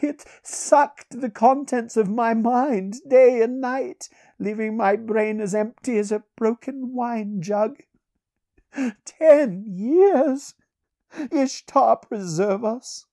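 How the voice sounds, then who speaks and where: A woman performs an eerie old sorcerer from a fantasy storybook, his voice lifted very high. He speaks slowly, with courtly, archaic grandeur and wry theatrical weight. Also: mellow. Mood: anguished, bitter. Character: aristocratic ancient male sorcerer, long imprisoned, wry and eerie. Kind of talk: dramatised fantasy audiobook.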